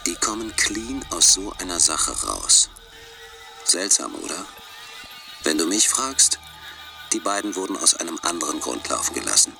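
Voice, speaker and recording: Suspicious, knowing man, radio play: very low, creaky, animated, insinuating. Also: normal speed, long pauses, loud music behind the voice, exaggerated voice. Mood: sceptical, insinuating. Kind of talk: German radio drama dialogue.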